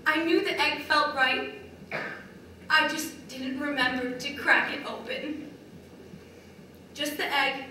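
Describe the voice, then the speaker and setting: Woman performing battered wife, stage monologue, slow halting phrases, bright tone, wounded, far-off echoey recording. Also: sits high, heavily acted. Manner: deliberate, halting delivery with short broken phrases. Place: large echoey room, distant microphone. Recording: very echoey hall from far off, faint noise.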